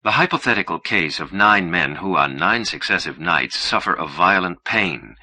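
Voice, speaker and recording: Guy narrating a literary audiobook, really low voice, lively pitch swings, measured and formal. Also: dry recording. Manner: measured, formal, precise reading. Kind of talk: scripted narration of literary fiction.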